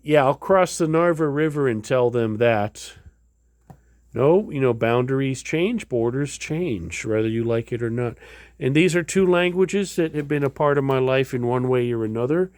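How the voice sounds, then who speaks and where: A man's voice: mid-range voice, moderate pace, a little mellow, dry recording, clean studio sound. A man casually showing items in a home vlog, rambling with many fillers and restarts. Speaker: vlogger. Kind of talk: casual show-and-tell vlog.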